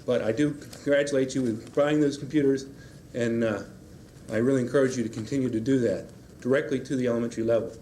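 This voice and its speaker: A man's voice: mid-range voice, fast, warm tone. A man giving a public comment at a school board meeting, firm and urging. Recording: 1990s public-access broadcast with faint hiss.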